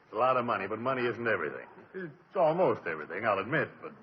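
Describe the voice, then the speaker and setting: A man's voice: fairly low pitch, sing-song, very fast. An actor playing a jovial, folksy college board chairman with easy chuckles, in 1950s radio drama style, on a dull old broadcast recording.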